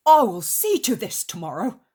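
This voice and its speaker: A woman's voice: mid-range voice, wildly swooping pitch, moderate pace, dark tone, slightly rough, pitched above the performer's own voice. A woman voicing a protective, exasperated male disciple in a storybook reading, urgent and commanding. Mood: exasperated, concerned. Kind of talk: dramatized devotional storybook reading.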